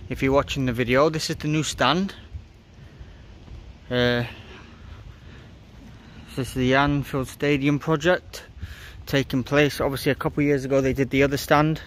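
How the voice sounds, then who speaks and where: A man filming a casual walking vlog outdoors, chatty and excited as he points things out on a handheld phone. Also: mid-range voice, moderate pace, long pauses, slight background noise. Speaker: vlogger filming a stadium walk. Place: outdoors around a stadium. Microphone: handheld phone camera.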